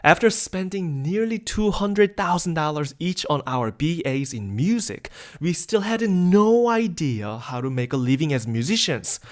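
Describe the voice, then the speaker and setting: A man in his thirties from South Korea hosting a webinar, delivering an upbeat, persuasive scripted sales pitch. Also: big pitch swings, measured pace, few pauses. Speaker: webinar host.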